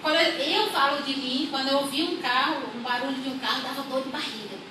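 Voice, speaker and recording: A young woman from rural Brazil telling her life story as a church testimony, unhurried and repeating her phrases. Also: high-pitched. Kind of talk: personal testimony. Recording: echoey room, far from mic, audible noise.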